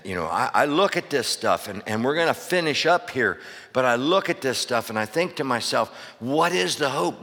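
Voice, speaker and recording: A man preaching a loud sermon as pastor, hollering to the congregation and calling for amens, his voice going hoarse. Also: fairly high pitch. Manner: hollering, call-and-response preaching. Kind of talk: fiery sermon. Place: church sanctuary. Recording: long reverb of a church hall.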